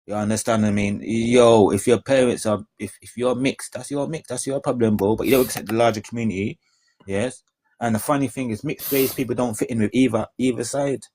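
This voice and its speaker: A man, lecturing rapidly and repetitively as host of a heated live stream debate. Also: mid-range voice, steady tone, a little mellow, slight background noise. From Caribbean heritage.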